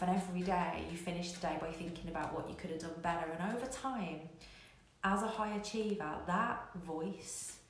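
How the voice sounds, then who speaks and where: Echoey, lower-pitched woman coaching online. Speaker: business coach hosting a live online show. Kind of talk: live coaching talk to online viewers. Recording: very echoey room, faint noise.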